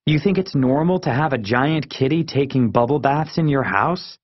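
A man's voice: mid-range voice, normal speed, no echo, voice pushed up, close to an everyday voice. An anxious, stubbornly logical schoolboy played by a male narrator in a children's audiobook. He answers in short, defensive phrases, recorded dry with a slightly muffled sound.

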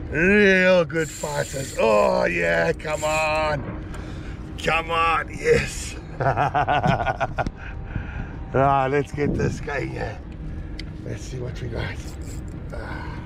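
A man's voice: a little high, creaky. A man in his thirties fishing from a kayak on the open sea, giving casual commentary into an action camera and whooping as the fish run. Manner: excited whoops and cheering while fighting fish. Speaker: kayak angler. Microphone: action camera on the boat. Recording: outdoor camera with wind and water noise.

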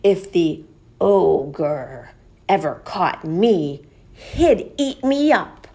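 A woman voicing a small boy knight in a children's picture book read-aloud, with drawn-out, dramatic delivery. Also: fairly low pitch, sing-song, mellow, heavily acted. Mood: smug, wary. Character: small boy knight, smug then alarmed, storybook.

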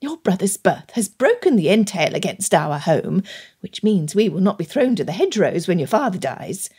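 A woman voicing a fussy, indignant middle-aged Regency gentlewoman who scolds and sniffs, in an audiobook story. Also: fairly high pitch, big pitch swings, moderate pace, slightly rough, exaggerated voice. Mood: scolding, hushing.

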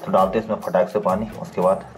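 A man's voice: low-pitched, flat delivery, fast talker. A man, chatting playfully to viewers in a casual vlog. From Uttarakhand, India. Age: 20s. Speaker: vlogger. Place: village house kitchen and stone courtyard. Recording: music laid under the voice. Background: music.

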